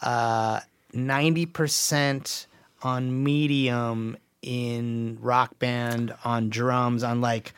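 Male podcast guest, middle-aged, clear resonant voice, thoughtful halting delivery, dry recording. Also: mid-range voice, level tone, dry recording. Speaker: podcast guest, game industry audio designer. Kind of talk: casual conversational podcast talk.

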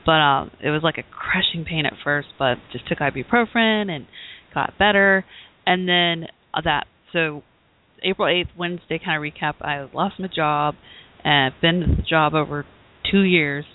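A woman who hosts a talk show, hoarse from bronchitis, giving a rambling, casual update with many fillers and restarts. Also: sits low, normal speed. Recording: tinny phone-like audio.

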